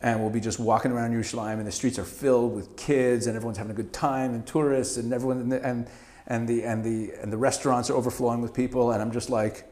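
A man's voice: mid-range voice, fast, hardly any pauses. Chatty middle-aged man from Canada. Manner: animated, frequent restarts and stammered repeats, laughs easily. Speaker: interview guest. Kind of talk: casual conversational interview.

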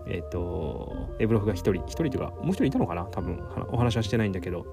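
A man's voice: fairly low pitch, expressive, racing, vocal fry. A man hosting a casual, rambling solo talk in a giggly, self-amused manner. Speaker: host of a solo talk show. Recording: music playing behind the voice. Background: background music.

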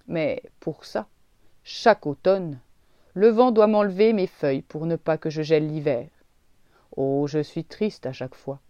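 A woman voicing an old male oak tree who is gentle and gruff, talking slowly and sadly. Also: fairly low pitch, no echo, lo-fi sound, lightly acted. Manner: slow, sad and grumbling.

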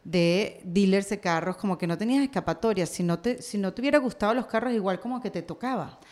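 A woman hosting a conversational interview, chatty and quick to react, chiming in on what her guest says. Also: mid-range voice, darkish tone. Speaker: podcast interview host.

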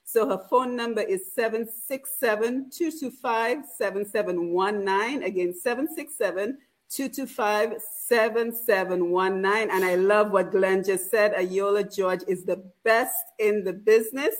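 A woman's voice: mid-range voice, a little slow, low-quality recording. A woman hosting a program, interviewing a guest and reading out announcements, with many affirming "mm-hmm"s. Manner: frequent affirming "mm-hmm" and "right". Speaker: radio program host.

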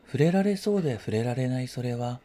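Mellow-voiced man reading a reflective story. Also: medium pitch, lively intonation, a little fast. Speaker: story reader. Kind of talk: scripted literary narration, first-person radio drama reading.

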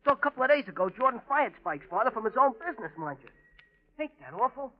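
An actor playing a talkative young city newsboy in an old radio drama, chattering confidingly. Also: male voice, very high-pitched, very animated, fast talker. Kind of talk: 1940s radio drama acting. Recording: dull old radio recording.

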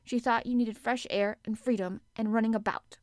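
A woman voicing a miserable middle-aged gentleman in an audiobook, with a worried, absent-minded and fretful manner. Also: fairly high pitch, steady tone, normal speed, dry recording, clean studio sound, pitched above the performer's own voice, natural voice. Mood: worried, exclaiming. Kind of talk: audiobook character acting.

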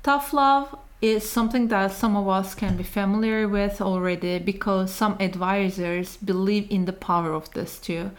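A woman's voice: mid-range voice, steady tone, moderate pace, few pauses. A woman presents scripted narration that links the parts of a discussion and poses questions.

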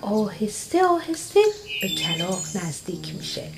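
A woman's voice: mid-range voice, very animated, background noise, lightly acted. A woman playing the storyteller, giving scripted narration of a children's radio adventure. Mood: vivid storytelling. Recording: loud music and birdsong behind.

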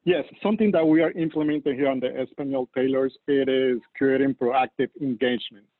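A man from Colombia with a Colombian Spanish accent, a native Spanish speaker, talking as a guest about leading volunteers at his church, unhurried and searching for words. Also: mid-range voice, steady tone, dry recording. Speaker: church campus leader. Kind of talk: podcast guest interview. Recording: dry tinny phone-like line.

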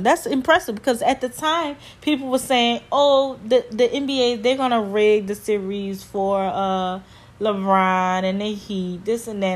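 A woman in her thirties chats about sports on her podcast. She talks slowly and with emphasis, often repeating herself. Her pitch sits at an ordinary middle height. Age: 30s. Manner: chatty, slow and emphatic, repeats points. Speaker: podcast host. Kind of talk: casual sports talk.

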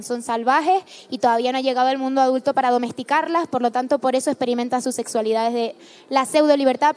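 A woman novelist speaking frankly and articulately on a panel, in long, steady sentences. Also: sits high. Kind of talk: fluent panel discussion.

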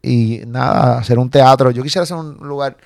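A man from Puerto Rico in his forties with a Puerto Rican accent, a comedian chatting casually in an interview and telling stories quickly, with some swearing. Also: mid-range voice, gravelly, dry recording, studio quality. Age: 40s. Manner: animated, swearing, quick storytelling. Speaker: comedian guest.

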